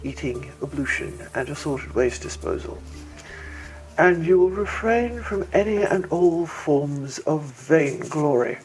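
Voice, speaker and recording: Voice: mid-range voice, expressive, rough recording. A narrator voicing a pompous male bird-like alien mayor full of bureaucratic contempt, in an audiobook story. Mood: grudging contempt. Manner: pompous, clipped contempt, drawn-out emphasis. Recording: music bed behind.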